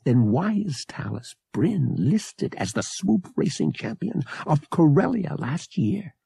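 A man's voice: a little high, very animated, takes it slowly, gravelly, mellow, husky, no room sound, voice pushed up, heavily acted. A man voicing an indignant, suspicious young brother who argues in an emphatic, exasperated way. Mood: accusing. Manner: emphatic, argumentative.

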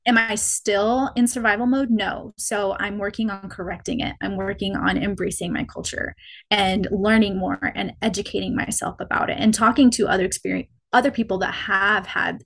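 Young woman, podcast guest, reflective, halting, mid-pitched voice. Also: fairly even intonation, vocal fry, fairly bright tone, poor audio. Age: young adult. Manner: reflective, many likes and restarts. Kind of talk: personal storytelling in conversation.

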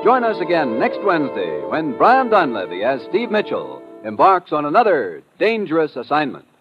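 A man's voice: fairly high pitch, huge pitch swings, moderate pace. An American man announcing a programme in formal, scripted 1950s radio style. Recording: dull old radio recording, loud music.

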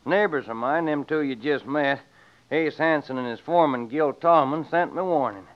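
A man's voice: a little high, normal speed, natural voice. A man playing a wronged, plainspoken homesteader with a folksy American Western accent in an old radio western. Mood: earnest, aggrieved. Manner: folksy, plain country talk. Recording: dull vintage radio recording.

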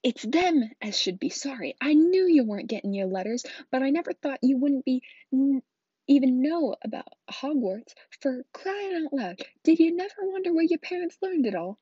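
A woman voicing a huge, gruff, hot-tempered but kindly giant man from a storybook, with rustic speech and a booming growl when angered. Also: a little fast, dark tone. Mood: thundering outrage. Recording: roomy, dull lo-fi home recording.

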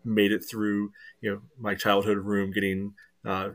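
Low-voiced rambling man. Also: monotone, measured pace, a bit bright. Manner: rambling, sprinkled with "you know", dry jokes. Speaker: podcast co-host. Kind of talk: casual podcast chat.

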